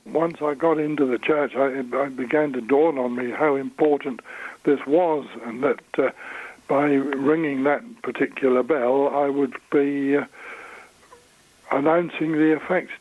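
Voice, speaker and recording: A man in his eighties with an English accent, recounting memories in an interview in a hesitant, measured, understated way. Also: mid-range voice, steady tone, no echo, narrow, tinny audio. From Suffolk, England. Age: 80s. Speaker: interviewee, church bell ringer.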